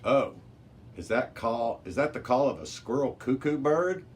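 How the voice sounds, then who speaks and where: A man's voice: mid-range voice, lively intonation, measured pace, pitched above the performer's own voice, close to an everyday voice. A man voicing a clever, level-headed young girl in a children's storybook, explaining things. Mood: curious. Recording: noisy amateur recording with background hiss.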